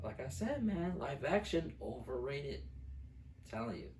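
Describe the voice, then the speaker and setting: A man with a mid-pitched voice gives film opinions as a co-host in casual, slangy, blunt bursts. The sound is echoey and a bit far from the microphone. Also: sing-song, moderate pace, darkish tone, a little hiss or hum. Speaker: co-host of a film review show. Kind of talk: casual chat, film review. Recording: roomy echo, distant mic.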